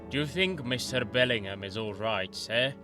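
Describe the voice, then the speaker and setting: A man's voice: medium pitch, moderate pace, over music, natural voice. A man voicing an old male college manservant who is fussy and gossipy, with rustic grammar and constant deference. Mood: worried. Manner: deferential, rustic grammar, says sir a lot.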